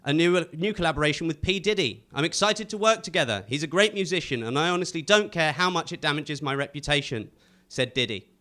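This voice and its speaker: A man's voice: fairly high pitch, expressive, fast, bright, forceful tone, a little hiss or hum. A man hosting a comedy panel show, reading scripted jokes to a live audience with punchy timing.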